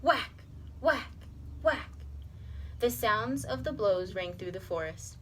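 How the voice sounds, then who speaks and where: A woman's voice: fairly high pitch, animated, slow, a bit bright. A woman reading a children's story aloud expressively, as a teacher does. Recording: clear background noise.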